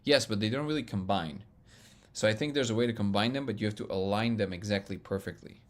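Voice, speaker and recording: A man hosting a casual live stream, reading viewer comments and answering them in an excitable, loud, booming voice, laughing often. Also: fast. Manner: excitable, laughs often, reads chat aloud. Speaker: livestream host answering chat.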